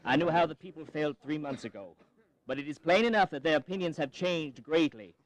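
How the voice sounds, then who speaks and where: Conceding, earnest colonial delegate played by a male actor, lightly pitched, formal, for historical audio drama. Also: a little fast, lightly acted, poor audio. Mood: resigned, resolute.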